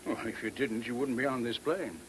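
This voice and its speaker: A man acts a retired army major in a mid-century radio drama. He is blunt and knowing, sure of himself and cryptic, in a medium-pitched voice. The old recording has a slight hiss. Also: natural voice, poor audio. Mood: blunt, insistent. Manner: blunt, knowing, hesitant little pauses.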